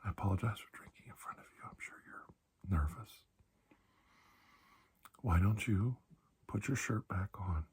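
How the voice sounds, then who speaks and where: A man speaking in a soft, breathy ASMR roleplay as a calm, kindly doctor, gentle and reassuring with unhurried pauses. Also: low voice. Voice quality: breathy, hushed. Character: calm, kindly male doctor soothing a frightened patient. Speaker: ASMR performer playing a doctor.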